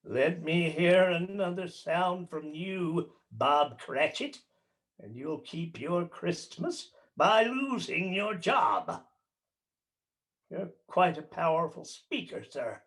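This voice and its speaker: A man plays a stingy old miser with a grating, scornful voice that swoops high and low. He speaks slowly, spitting out each word with contempt in this radio drama. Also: lively intonation, fairly bright tone, exaggerated voice. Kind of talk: radio play acting.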